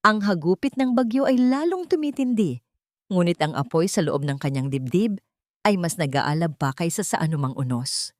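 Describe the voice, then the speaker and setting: A woman's voice: on the low side, expressive, moderate pace, no room sound, clean studio sound. A woman narrating a scripted dramatic story as an audiobook, with a solemn, emotive delivery.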